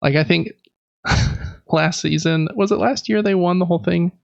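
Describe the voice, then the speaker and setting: A man with a slightly high, lightly creaky voice chats casually as a podcast co-host. He is easygoing and talkative. The close, dry recording sounds a little dull. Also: moderate pace, no echo. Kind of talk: casual chat.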